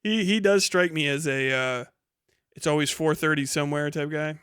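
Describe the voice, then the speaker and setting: A man in his thirties talks casually to a livestream in a fairly high, clear voice. He is blunt and incredulous, swearing freely as he comments and reads out chat. The sound is close and dry. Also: moderate pace, fairly bright tone, dry recording. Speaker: live streamer.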